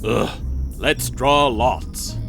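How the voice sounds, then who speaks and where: An actor playing a vain, bored alien starship captain in a comedic audio drama, with a pompous, languid, fussy delivery. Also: male voice, mid-range voice, very slow, gravelly, fairly bright tone, faint music behind, exaggerated voice. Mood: exasperated, haughty. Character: vain, bored male alien spaceship captain obsessed with grooming.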